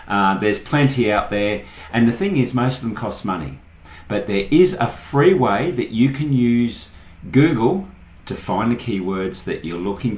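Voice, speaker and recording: An Australian man hosting an informal online tutorial, explaining things step by step in an echoey room. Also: on the low side. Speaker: online marketing tutorial host. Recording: echoey, roomy sound.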